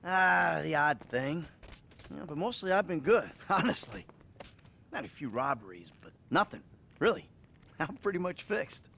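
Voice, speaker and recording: An actor playing a troubled, foul-mouthed middle-aged American criminal in a video game cutscene, halting and wry. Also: male voice, on the high side, sing-song, gravelly, pushed and bright, exaggerated voice. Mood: evasive, wry.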